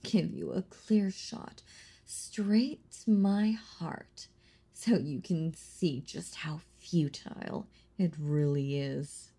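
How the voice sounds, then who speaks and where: A woman voicing a possessive, centuries-old vampire in an intimate audio roleplay, husky and breathy, teasing and condescending, slipping into whispers. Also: sits low, full character voice. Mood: mocking, confident. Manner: slow, condescending, teasing, softly chuckling. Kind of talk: intimate audio roleplay monologue addressed to listener.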